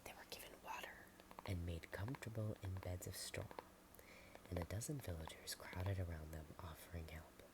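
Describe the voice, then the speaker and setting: A woman reading a storybook in a soft whisper, adding chatty asides and sometimes stumbling over words. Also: very deep voice, moderate pace, noisy background.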